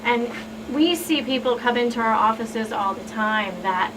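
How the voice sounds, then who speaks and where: A woman's voice: on the high side, normal speed. A woman on a talk show panel explaining things earnestly, as someone who works in victim support. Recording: distant mic, clear background noise.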